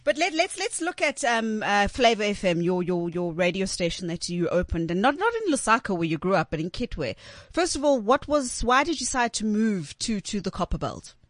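A woman's voice: medium pitch, very animated, moderate pace, dry recording, clean studio sound. A woman hosting a casual, conversational interview, informal in manner and acting out remarks as she quotes them. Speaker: interviewer, host.

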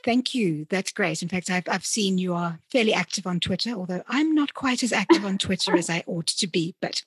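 A woman hosting a conversational interview, speaking deliberately and often rephrasing as she goes. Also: mid-range voice, expressive, breathy edge, no room sound. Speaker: interviewer and podcast host.

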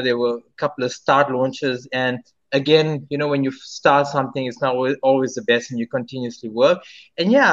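A man's voice: mid-range voice, fairly bright tone, muffled recording. A South African singer in his thirties, answering interview questions in a rambling way with many restarts. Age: 30s. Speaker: singer-songwriter guest.

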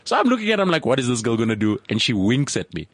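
A man's voice: medium pitch, sing-song, rapid-fire, a bit bright, no echo. A young man from South Africa telling a rambling comic anecdote on a podcast, often repeating words as he goes. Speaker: comedian guest. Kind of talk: casual storytelling.

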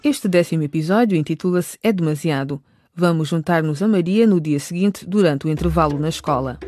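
A woman's voice: sits low, brisk, mellow, no echo. Dry studio female narrator, scripted recap.

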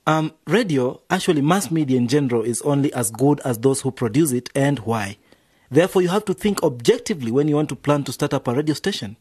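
An actor playing a cautious, level-headed music producer in a radio drama, speaking in a measured, slightly hesitant way. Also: male voice, mid-range voice, lively intonation, a little mellow, breathy edge, no echo, clean studio sound. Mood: serious, cautioning.

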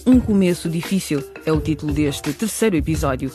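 A man narrates a radio drama in a scripted storytelling style. His voice sits fairly high for a man, with light music underneath. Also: expressive, brisk, warm tone.

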